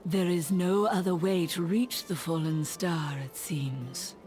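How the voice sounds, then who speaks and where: Cold female necromancer for a fantasy game: low, unhurried, detached, music behind. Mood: cool, detached. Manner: terse, detached, deliberate. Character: cold, aloof woman necromancer, calm and resolute. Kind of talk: restrained fantasy game acting.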